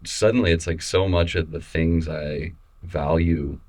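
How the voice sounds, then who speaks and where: Very deep-voiced man, easygoing and halting. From Missouri, USA. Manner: relaxed, halting, many restarts and fillers. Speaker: photographer guest. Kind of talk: casual interview conversation.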